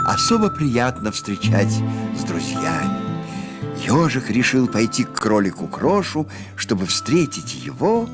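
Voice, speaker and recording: A man's voice: mid-range voice, big pitch swings, a little slow, creaky. A man narrating a children's cartoon like a scripted storybook. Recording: loud music behind the voice.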